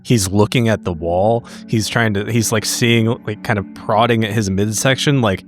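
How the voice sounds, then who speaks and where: A man with a fairly low voice talks as a player in a tabletop game. He describes his character's actions casually and haltingly, over faint music.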